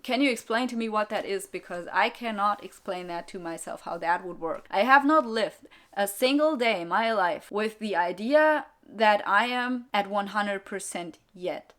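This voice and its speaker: A woman's voice: medium pitch, animated, moderate pace, a bit bright. A young adult woman hosting her own podcast, English not her first language, talking bluntly and candidly in a solo monologue with sarcastic asides. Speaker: podcast host and YouTuber.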